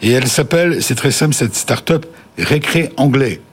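A man's voice: medium pitch, moderate pace, slightly rough. A male host running a business interview with playful, joking questions.

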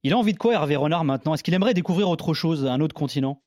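A man's voice: fairly high pitch, animated, rapid-fire, a little mellow, dry recording, clean studio sound. A man asking questions as a sports interviewer in a broadcast interview.

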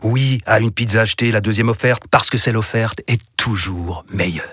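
A man reading a scripted radio advert in a deliberate, rhetorical way. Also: fairly low pitch, a bit bright. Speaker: advert voice-over.

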